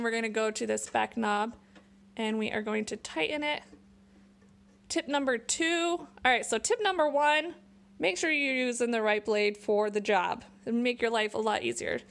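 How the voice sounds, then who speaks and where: A woman's voice: on the high side, creaky, fairly bright tone. A young woman hosting a casual woodworking tutorial, chatting while she shows her tools in a small home workshop. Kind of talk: casual how-to demonstration. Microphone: camera on the bench, close.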